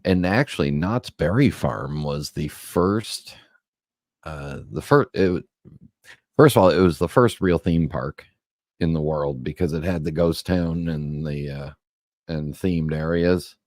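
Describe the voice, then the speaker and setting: Man co-host, extremely low voice, close and dry sound, easygoing storyteller. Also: measured pace, darkish tone, no room sound. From Southern California. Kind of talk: casual chat.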